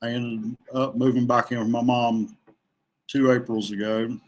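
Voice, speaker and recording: A middle-aged man from West Virginia, chatting casually as a guest in a halting way with pauses. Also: medium pitch, flat delivery, poor audio. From West Virginia, USA. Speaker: podcast guest, kayak angler. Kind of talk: casual chat. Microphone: remote online call.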